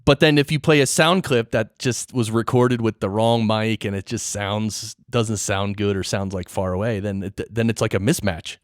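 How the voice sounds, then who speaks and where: A man hosting a casual interview, animated and curious, often restarting his sentences. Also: lowish voice, normal speed, hardly any pauses, no room sound, clean studio sound. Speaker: podcast host and interviewer.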